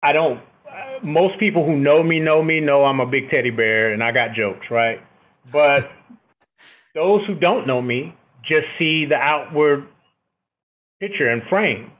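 Booming middle-aged man on a phone-like line. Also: measured pace. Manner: reflective, often checks with "right?". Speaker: military officer, podcast guest. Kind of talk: conversational interview answers. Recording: thin, phone-like band-limited line.

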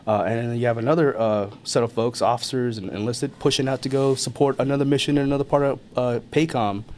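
An easygoing man in a mid register talks through a casual interview, chuckling and using lots of fillers. His voice is ordinary in pitch. A faint hiss sits under the recording. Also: fairly even intonation, quick pace, darkish tone. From born in Thailand, raised in America. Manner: easygoing, chuckles often, many fillers and restarts. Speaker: army NCO guest.

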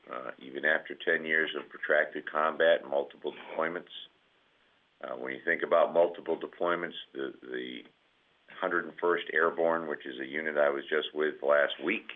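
A man with a cold, sounding scratchy and nasal, speaking as a guest in measured remarks with many pauses. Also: extremely low pitch. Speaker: senior army enlisted leader, guest. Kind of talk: conference call remarks. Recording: tinny phone line.

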